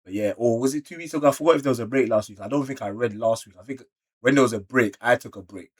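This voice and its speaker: A man's voice: medium pitch, fast, husky. London man reacting in loose street slang. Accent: London British. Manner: slangy, animated exclamations mixed with muttered asides. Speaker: reaction video creator. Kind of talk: casual manga read-along reaction.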